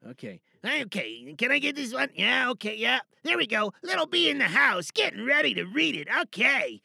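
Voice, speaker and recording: A man's voice: very high voice, very animated, moderate pace, creaky, sharp tone, slightly rough, no echo, studio quality. A man hosting a podcast from a booth in a showy announcer-like way, chatting casually and rambling to himself. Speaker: host. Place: recording booth.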